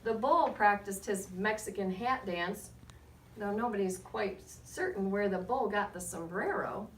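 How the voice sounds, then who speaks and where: A woman reading a picture book aloud to children as a guest storyteller, with expressive, measured delivery and short asides to her listeners. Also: mid-range voice. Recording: roomy, distant mic, faint background noise.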